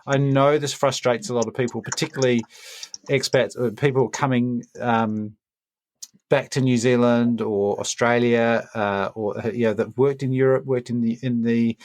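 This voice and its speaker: A man hosting a casual interview podcast, talking in measured short phrases with pauses between them. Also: medium pitch, steady tone, a little mellow, no room sound. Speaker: podcast host.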